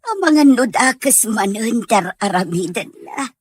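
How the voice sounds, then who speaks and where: A woman acting a worried, sorrowful mother in an audio drama, with a husky voice. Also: fairly high pitch, expressive, measured pace, no echo, clean studio sound. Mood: worried, sad. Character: worried, sorrowful mother fretting over her son. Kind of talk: acted dialogue in an audio drama.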